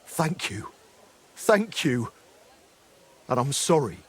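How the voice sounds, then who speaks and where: An actor playing a remorseful middle-aged former blacksmith in a dramatic game, speaking in an earthy, apologetic way. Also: male voice, medium pitch, sing-song, slow, slightly rough, full character voice. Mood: hesitant, grateful.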